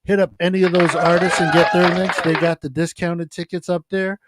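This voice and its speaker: A man on a podcast as a guest, talking calmly and upbeat about positivity and community with a soothing voice. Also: high-pitched, brisk, heavy background noise. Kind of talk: casual chat.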